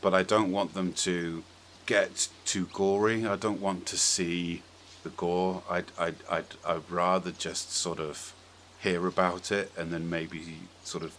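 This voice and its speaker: A man's voice: low-pitched, flat delivery, unhurried, hardly any pauses, a bit bright. A man hosting a podcast, chatting casually and informally with strong opinions. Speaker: podcast host. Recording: clearly audible background noise.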